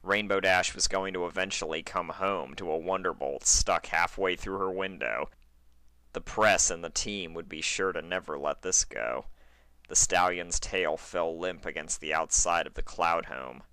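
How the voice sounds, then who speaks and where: A man's voice: low-pitched, unhurried, pushed and bright, dry recording. A man narrating a fan fiction story in a dramatic, scripted reading style.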